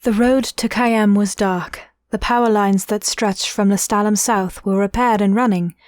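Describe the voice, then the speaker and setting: A woman narrating a fantasy audio drama as a measured storyteller, reading scripted prose. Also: mid-range voice, darkish tone, dry recording, studio quality, natural voice.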